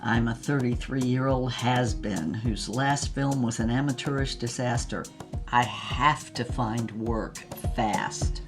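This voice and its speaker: A woman's voice: a bass voice, slow pace, over music, heavily acted. An actress playing a jaded fifties B-movie star, telling her story with dry, dramatic flair in an audio drama. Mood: wry, sardonic. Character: jaded fifties B-movie screen goddess, wry, world-weary memoirist. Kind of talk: audio drama first-person narration.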